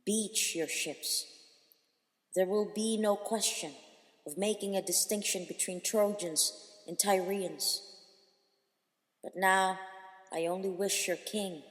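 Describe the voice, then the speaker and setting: A woman's voice: mid-range voice, fairly even intonation, slow pace, pauses often, voice pushed up, natural voice. A woman voicing a regal, gracious queen who speaks in a stately, reassuring way. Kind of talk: reading an epic aloud. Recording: echoey, long hall reverb.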